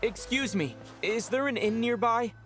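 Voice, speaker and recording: A man's voice: extremely high pitch, normal speed, fairly bright tone, soft background music. An actor playing a polite young male traveler in a video game, asking in a courteous, friendly way.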